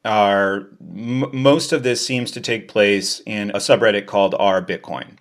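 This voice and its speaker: A man hosting a podcast interview who asks questions in a thoughtful, measured way and hedges his views. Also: fairly low pitch, fairly even intonation. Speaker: podcast host. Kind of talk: casual interview talk.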